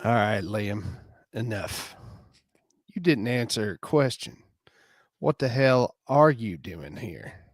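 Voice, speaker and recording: A man's voice: on the low side, animated, slow, no echo, studio quality, full character voice. A man playing a stern, fed-up restaurant partner in a romance drama, with a tight, curt tone. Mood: stern, irritated.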